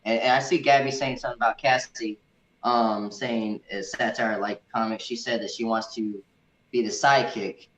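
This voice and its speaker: Halting man in an echoey room. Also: medium pitch, steady tone, fairly bright tone. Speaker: co-host of a movie review show. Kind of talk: casual film discussion with live comments. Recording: dull, echoey room sound.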